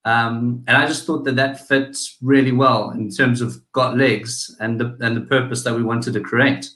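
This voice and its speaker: Brief: South African male guest, analytical, halting delivery, roomy echo. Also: medium pitch, fairly even intonation, a bit bright. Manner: analytical, halting with many restarts and fillers. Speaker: guest, digital marketing business owner. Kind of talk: casual interview talk.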